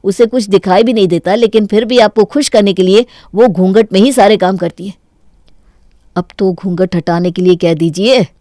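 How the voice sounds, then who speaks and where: A woman plays a young husband in a cartoon tale. The voice is mellow and dark, calm and reasoning, recorded very dry. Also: mid-range voice, moderate pace, no room sound, natural voice. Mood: reasoning reproach. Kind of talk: Hindi cartoon story voice acting.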